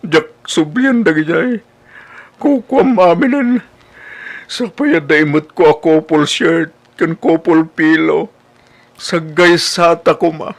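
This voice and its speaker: A man plays a tender, rejected young suitor in a radio-style comedy drama. His voice sits fairly high and lingers slowly over the words, with an Ilocano accent. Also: gravelly, exaggerated voice. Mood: resigned, wistful. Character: lovelorn, tearful rejected suitor, sweetly self-sacrificing young man.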